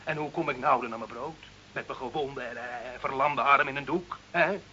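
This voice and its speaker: A man's voice: mid-range voice, moderate pace, sharp tone, close to an everyday voice. A man acting as a cynical, grumbling poor bricklayer in a radio play, sardonic and colloquial. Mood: bitter, indignant. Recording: dull old radio recording, faint hiss.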